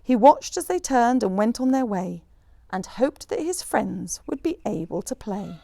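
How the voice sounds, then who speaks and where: A woman reading a rhyming children's picture book aloud in an expressive, rhythmic storyteller's manner. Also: fairly high pitch, a little slow, vocal fry, no room sound. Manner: animated, rhythmic rhyming delivery.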